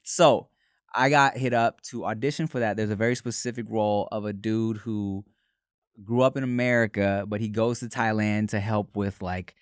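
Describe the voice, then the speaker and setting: Smooth-voiced man telling a story. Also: fairly low pitch, moderate pace, dry recording, studio quality. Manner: animated storyteller, swears freely. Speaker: podcast co-host. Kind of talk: casual chat.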